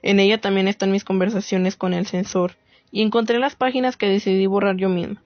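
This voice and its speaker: A woman playing a reflective writer, narrating a radio play in a solemn, deliberate, literary way. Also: mid-range voice, steady tone, dry recording, lo-fi sound, lightly acted. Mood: solemn reflection. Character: reflective woman writer narrating her search for women's war stories.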